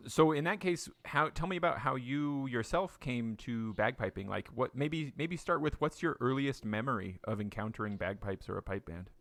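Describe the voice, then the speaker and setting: A man's voice: mid-range voice, moderate pace, hardly any pauses, a bit bright. A man hosting a podcast who asks curious questions and slips in jokes. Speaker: podcast host. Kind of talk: casual interview chat.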